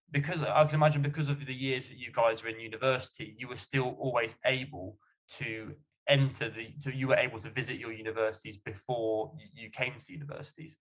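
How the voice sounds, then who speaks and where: A man's voice: medium pitch, fairly bright tone, rough recording. A man hosting a student question-and-answer panel in a conversational, encouraging way. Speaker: panel host.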